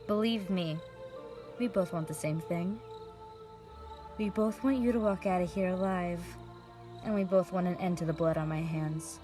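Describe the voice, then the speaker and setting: A woman playing a young, unrepentant wind mage killer in a fantasy audio drama, measured, resigned and quietly menacing. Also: medium pitch, lightly acted. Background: music.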